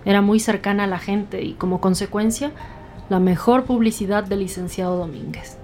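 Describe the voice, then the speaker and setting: Actress as composed, businesslike senior detective. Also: female voice, medium pitch, moderate pace, darkish tone, soft background music, natural voice. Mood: brisk, analytical. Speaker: actress in a detective audio drama. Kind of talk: dramatic podcast dialogue.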